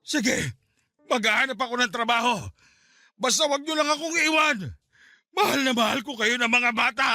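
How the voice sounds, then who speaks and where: An actor playing a belligerent, needy Filipino drunkard husband in a radio drama, whiny and blustering. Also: male voice, extremely high pitch, moderate pace, sharp tone, heavily acted. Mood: pleading.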